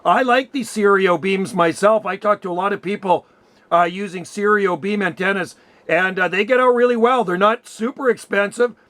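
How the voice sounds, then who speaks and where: High-voiced man chatting on amateur radio. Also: normal speed, a bit bright, dry recording. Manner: affirming, colloquial. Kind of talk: casual radio contact chat.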